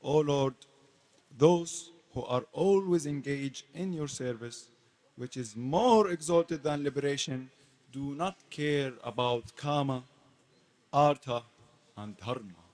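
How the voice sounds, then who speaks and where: Guy playing a devout demigod praying, slow and solemn, pauses between phrases, dramatic scripture reading. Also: medium pitch, a little mellow, lightly acted. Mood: devout gratitude. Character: devout male demigod praying in grateful awe.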